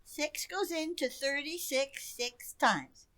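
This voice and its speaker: A woman's voice: high-pitched, expressive, takes it slowly, bright, forceful tone, full character voice. A woman playing an inquisitive, stubborn little schoolgirl in an old-time radio sitcom. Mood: studious.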